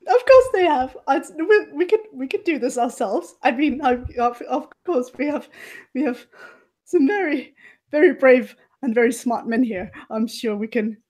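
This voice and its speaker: A woman's voice: high-pitched, expressive, moderate pace, a little mellow, voice pushed up. A woman playing a flustered, genteel lady dinner guest who falters and repeats herself in a roleplay game.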